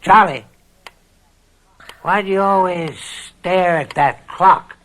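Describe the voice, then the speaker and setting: An actor playing a scheming, controlling older farm uncle with drawn-out, falsely kindly wheedling in an old radio mystery drama. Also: male voice, high-pitched, fairly bright tone. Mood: oily, coaxing.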